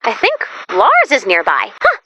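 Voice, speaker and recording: A woman voicing a perky, excitable young robot who guides the player through missions in a video game, chipper and quirky, eager then sheepish. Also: a little high, a little slow, no echo, heavily acted. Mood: upbeat, focused. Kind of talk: video game character voice-over.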